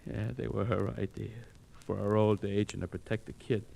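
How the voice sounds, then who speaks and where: An actor playing a frightened, despairing young ex-soldier husband, anxious and defeatist, in 1940s radio drama style. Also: male voice, on the low side, little pitch movement, moderate pace, vocal fry, mellow. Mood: panicked, desperate. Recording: hissy, noisy vintage radio recording.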